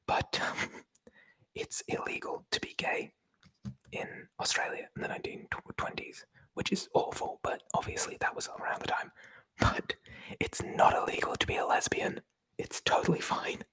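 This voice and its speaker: Someone whispering in a breathy voice, reading aloud from a book in an ASMR style with chatty, informal commentary. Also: normal speed, fairly bright tone, low-quality recording. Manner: chatty, informal, swears casually. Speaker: presenter reading aloud from a tabletop RPG book.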